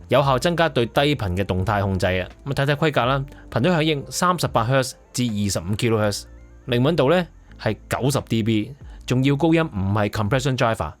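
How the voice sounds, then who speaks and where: A man with a Hong Kong accent giving a conversational, explanatory product review as host. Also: medium pitch. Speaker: audio equipment reviewer and host.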